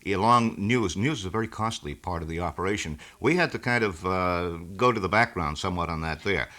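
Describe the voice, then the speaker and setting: An American man, a radio station manager and host, giving wordy, halting, explanatory answers in an unscripted interview. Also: sits low, sharp tone.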